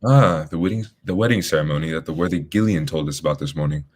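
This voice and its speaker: Guy playing a gentleman detective in a radio play, low voice, talks fast, formal and courteous. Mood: brisk, thoughtful. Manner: formal, measured wording, polite. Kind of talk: radio drama acting.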